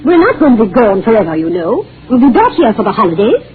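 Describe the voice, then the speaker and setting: Casting: middle-aged domineering wife, 1940s radio play, nagging, wildly swooping intonation, thin phone-like sound. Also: female voice, a little high, huge pitch swings, quick pace. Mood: bossy, exasperated. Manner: domineering, nagging, sharp-tongued. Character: bossy, scolding middle-aged wife of a meek professor. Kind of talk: 1940s radio drama acting. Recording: muffled, phone-like old radio broadcast.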